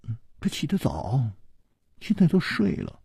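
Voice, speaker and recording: A man's voice: mid-range voice, wildly swooping pitch, a little slow, vocal fry, dark tone, husky, no room sound, clean studio sound, full character voice. A man voicing a bashful, pouting, feisty young village woman in love, coy and scolding in a storybook reading. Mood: shy, coy.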